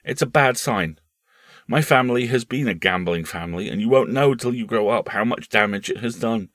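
A man's voice: mid-range voice, moderate pace, fairly bright tone, dry recording, clean studio sound, voice pushed up, lightly acted. A man voicing a tired, anxious mother who speaks firmly to her son. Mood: worried. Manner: firm yet tired, worried. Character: weary, anxious, stern mother.

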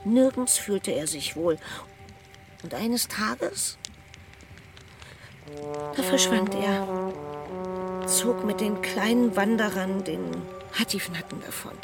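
An actress playing a motherly troll who tells old tales in a children's radio play, sometimes hushing to a whisper. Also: mid-range voice, takes it slowly, long pauses. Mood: storytelling. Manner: storytelling, hushed at times. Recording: loud music bed under dialogue.

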